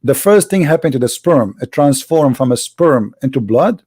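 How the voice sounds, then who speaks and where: A man's voice: medium pitch, animated, normal speed, mellow, husky, no room sound, clean studio sound. A man whose first language is Arabic, pressing his points in a heated online debate, repeating himself and talking over the other speaker. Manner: insistent, interrupting, talks over the other. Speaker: debate host.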